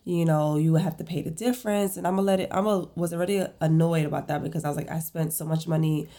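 A woman's voice: sits low, steady tone, fast talker, mellow. A woman in her thirties hosting a casual solo podcast, stuffy with a head cold, rambling and correcting herself as she tells a story. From Brooklyn, New York. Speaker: podcast host, esthetician and salon owner.

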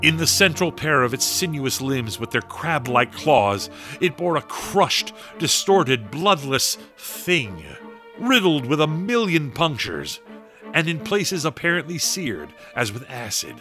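A man narrating a gothic horror radio drama in a grave, dramatic, deliberate storytelling manner. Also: on the high side, very animated, bright, forceful tone, soft background music, full character voice. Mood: grave, ominous.

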